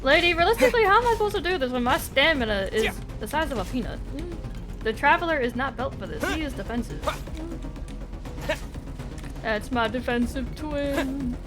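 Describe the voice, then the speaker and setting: A woman's voice: sits high, expressive, normal speed. A woman doing casual livestream commentary on a game, with sarcastic asides and swearing, sometimes reading dialogue aloud. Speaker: game streamer. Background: video game music.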